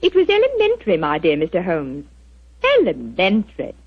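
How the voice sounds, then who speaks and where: An actress playing a gentle, quick-witted wife, modest and polite, in a 1940s radio drama. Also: female voice, fairly low pitch, huge pitch swings, gravelly, slightly rough, heavily acted. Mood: modest, sly. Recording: dull 1940s radio recording.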